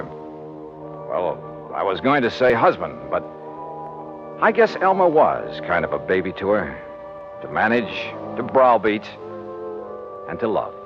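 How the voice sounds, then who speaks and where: An American actor playing a wry, brisk insurance investigator in a 1950s radio detective drama, speaking in a punchy, clipped, sardonic way. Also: male voice, lowish voice. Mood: wry, reflective. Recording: muffled vintage radio recording with organ music.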